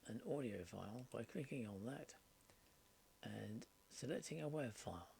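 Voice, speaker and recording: A man narrating an informal software tutorial, explaining step by step in short, halting phrases. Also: medium pitch, creaky. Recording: steady background noise, mouse clicks. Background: faint noise and clicking.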